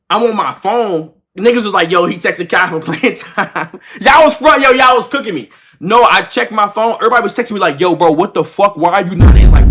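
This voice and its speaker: A man in his twenties livestreaming, telling a story casually in slangy, swear-heavy speech, repeating himself and acting out what was said. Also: high voice. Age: 20s. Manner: animated, repetitive retelling with acted-out quotes. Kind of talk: casual reaction-stream storytelling to chat.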